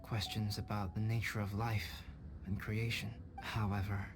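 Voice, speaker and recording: A man voicing a calm, scholarly young alchemist in a video game, measured, formal and polite. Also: on the low side, close to an everyday voice. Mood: thoughtful, sincere. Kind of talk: video game cutscene voice acting. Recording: game music behind voice.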